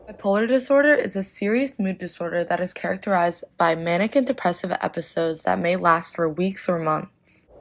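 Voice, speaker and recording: A teenage girl in high school narrating a scripted educational presentation in a steady, read-aloud way. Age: teens. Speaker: student presenter.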